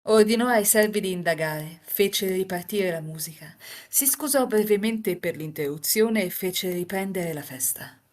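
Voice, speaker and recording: A woman reading as a composed, enigmatic housemaid who narrates a visual novel tale in formal, measured sentences. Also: mid-range voice, natural voice.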